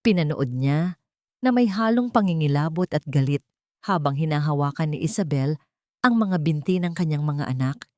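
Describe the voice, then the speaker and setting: Woman, dramatic audio drama narration, slightly low pitch, dry close sound. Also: animated, moderate pace, darkish tone, no room sound. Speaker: storyteller.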